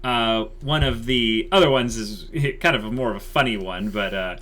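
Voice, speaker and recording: A man's voice: fairly low pitch, moderate pace, a bit bright. A man hosting a channel, talking casually to viewers in a wordy, explanatory way full of qualifiers. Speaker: channel host.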